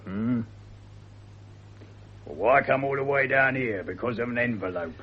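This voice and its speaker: Suspicious working-class British cafe man, played by a male actor, gruff and curt, dull hissy vintage radio sound. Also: mid-range voice, animated, unhurried, natural voice. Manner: blunt, grudging, colloquial. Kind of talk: 1950s radio drama acting. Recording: dull, noisy 1950s radio recording.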